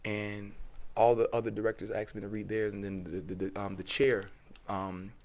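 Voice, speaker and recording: A man's voice: lowish voice, little pitch movement, normal speed, darkish tone. A middle-aged man being interviewed, telling personal stories in an emphatic way and often repeating words for effect. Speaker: interview guest, actor and former teacher. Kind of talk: animated personal storytelling.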